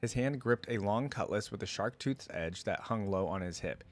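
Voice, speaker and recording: A man's voice: lowish voice, moderate pace. A man hosting a reaction video, reading a story aloud and breaking off for casual, slangy commentary.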